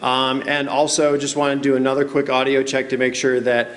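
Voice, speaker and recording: A man's voice: mid-range voice, flat delivery, brisk, fairly bright tone. A man giving a casual conference talk, chatty and often asking "right?" to the audience. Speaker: conference presenter. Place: conference room with noise next door. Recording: roomy hall recording.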